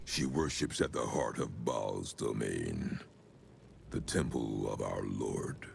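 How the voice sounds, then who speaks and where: An older man playing an ancient, sinister cult leader in a video game, with a very deep gravelly voice and a solemn, measured, archaic delivery. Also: slight background noise, full character voice. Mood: dark reverence. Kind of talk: dramatic video game monologue.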